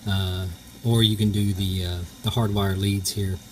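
A middle-aged man giving a casual hands-on demonstration in a halting, hesitant way, recorded on a handheld camera. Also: lowish voice, flat delivery, a little mellow, a little hiss or hum. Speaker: homestead vlogger. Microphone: handheld camera, speaker behind it.

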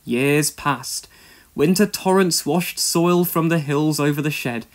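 A man reading a scripted children's story aloud as narrator, with expressive delivery. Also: a little high, slow.

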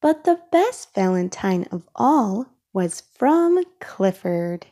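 A woman reading a children's storybook aloud in a careful, expressive way for young listeners. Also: mid-range voice, slow. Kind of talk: read-aloud storybook narration.

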